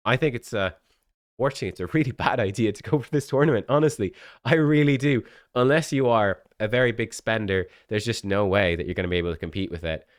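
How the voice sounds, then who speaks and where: Man, sick and sniffly, casual game commentary, rambling fillers, lowish voice, dry close mic. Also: fast talker, no room sound. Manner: chatty, full of fillers and restarts. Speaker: gaming content creator.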